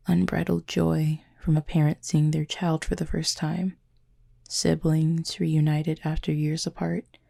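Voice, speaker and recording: A woman playing a gentle, formal, wounded cupid in an intimate audio roleplay, speaking softly in measured, breathy phrases. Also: deep voice, heavily acted. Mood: calm, explanatory. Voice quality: husky, breathy, creaky. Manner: measured, formal, soft-spoken with breathy pauses.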